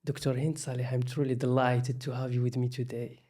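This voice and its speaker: A man hosting an interview podcast, asking measured, prepared questions. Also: medium pitch, little pitch movement, over loud music. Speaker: podcast host.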